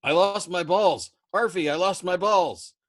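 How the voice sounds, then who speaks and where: A man reading an audiobook, voicing a desperate wounded airman who pleads and shouts in anguish. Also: high-pitched, measured pace, no echo, studio quality, voice pushed up. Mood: panicked pleading. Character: wounded airman, desperate, screaming, sobbing and exasperated. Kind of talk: acted audiobook dialogue.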